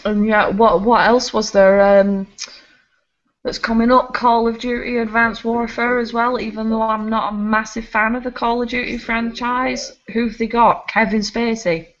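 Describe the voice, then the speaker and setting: A woman co-hosting a casual gaming podcast, chatty and quick to laugh. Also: fairly high pitch, level tone. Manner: chatty, laughs often, restarts sentences. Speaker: podcast co-host. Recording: slightly muffled home recording.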